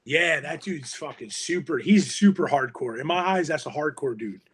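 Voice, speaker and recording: Slangy New man, husky voice. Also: a little high, normal speed. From Hudson County, New Jersey. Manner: slangy, animated, heavy swearing and "yo". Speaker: musician guest. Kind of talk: casual podcast chat.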